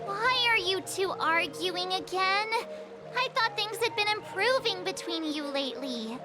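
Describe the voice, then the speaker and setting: Eager teenage girl apprentice for anime game: very high, lively, earnest delivery. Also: unhurried. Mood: curious. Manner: chirpy, earnest, expressive. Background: music.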